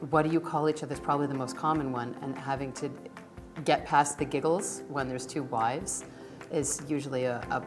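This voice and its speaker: Low-voiced woman in a conversational interview. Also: fairly even intonation, brisk, music in the background. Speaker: interviewee in a documentary.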